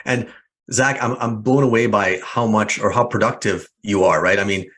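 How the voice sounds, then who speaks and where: This is a deep-voiced man in his forties who hosts a casual podcast. He talks in a friendly, rambling way and piles on fillers and restarts as he tells stories. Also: steady tone, moderate pace, fairly bright tone. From Hungary, raised in Canada. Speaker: podcast host. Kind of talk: casual interview chat.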